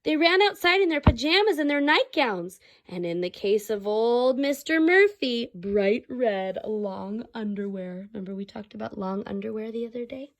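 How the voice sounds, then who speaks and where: A woman reading a children's story aloud expressively, like a teacher, pausing to explain and ask the young listeners questions. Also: a little high, lively intonation.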